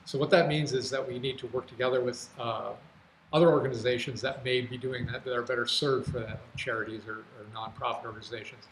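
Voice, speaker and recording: A man's voice: mid-range voice, steady tone, quick pace. An American man giving a candidate's answer at a public forum in a studio. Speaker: city council candidate. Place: local TV studio. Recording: roomy studio, faint background noise.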